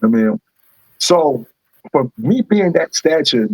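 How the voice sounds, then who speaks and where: A man's voice: big pitch swings, takes it slowly, husky, dry recording, poor audio. A young adult man with a Baltimore accent, talking animatedly and with heavy profanity as a rapper guest on a podcast. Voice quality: fairly high voice for his size. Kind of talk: casual podcast conversation.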